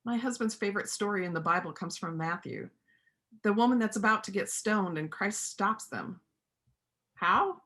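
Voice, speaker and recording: A woman plays a principled widowed country animal doctor in a stage reading. Her voice is bright and forceful, and she speaks plainly, with earnest, persuasive conviction and gentle firmness. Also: medium pitch, moderate pace, lightly acted. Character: principled widowed country vet, gentle but firm pacifist. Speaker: amateur church play performer. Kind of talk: reader's theater performance.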